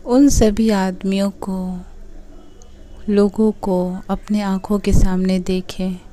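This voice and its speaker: Slow, mellow woman guiding meditation. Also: medium pitch. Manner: soothing, unhurried instruction with pauses between short phrases. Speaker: meditation guide. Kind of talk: guided meditation narration.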